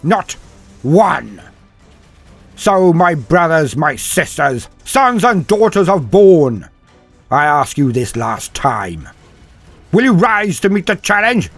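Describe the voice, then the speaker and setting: Man acting out a proud old commander rallying troops, raised and forceful. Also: a little high, sing-song, takes it slowly, no echo, faint music behind, pitched above the performer's own voice, exaggerated voice. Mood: proud, rousing. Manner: stirring, emphatic battlefield oratory. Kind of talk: dramatic audiobook speech.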